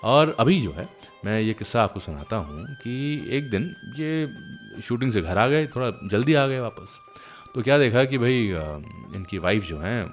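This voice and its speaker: A man's voice: medium pitch, animated, a little slow. A man hosting a music show, telling anecdotes in a chatty, conversational way straight to his listeners. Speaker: radio show host. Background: music playing behind the voice.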